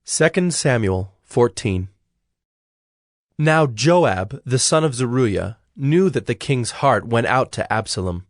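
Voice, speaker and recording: A man narrating scripture from a script in a measured, solemn storytelling manner. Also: medium pitch, animated, dry recording, studio quality.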